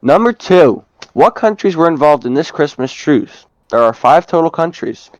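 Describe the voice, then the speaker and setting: A man's voice: on the high side, big pitch swings, moderate pace, highs cut off. A young man presenting from a script in the manner of a quiz host. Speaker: student co-presenter and quizmaster.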